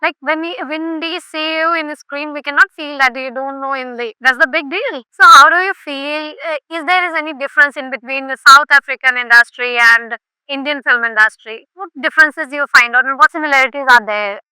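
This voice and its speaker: A woman's voice: high-pitched, brisk, few pauses, bright, forceful tone, no echo, rough recording. A woman interviewer who asks short, prompting questions in loosely phrased English.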